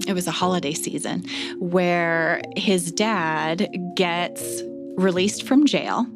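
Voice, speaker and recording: A woman telling stories in an interview about creative work, in a measured, explanatory way. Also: on the low side, music in the background. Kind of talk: interview storytelling.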